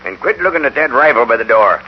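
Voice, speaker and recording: A noisy, muffled old radio recording holds a man acting a threatening older American outlaw. His surly snarl swings widely in pitch. The speech is folksy frontier dialect. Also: lively intonation, quick pace, lightly acted. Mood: menacing. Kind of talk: 1950s radio western acting.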